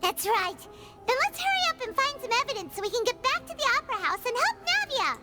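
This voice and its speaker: A woman voicing a small, childlike, excitable and talkative fairy companion in a video game, with a squeaky, exclamatory delivery. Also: fast. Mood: eager. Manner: exclamatory, refers to herself in third person. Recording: game music behind the voice.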